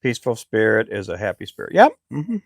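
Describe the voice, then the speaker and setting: Middle-aged man, low and gravelly, easygoing rambler, dry close recording. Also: fast talker, no echo. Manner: relaxed, rambling, frequent fillers. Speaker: lure maker live streamer. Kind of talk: casual live stream chat.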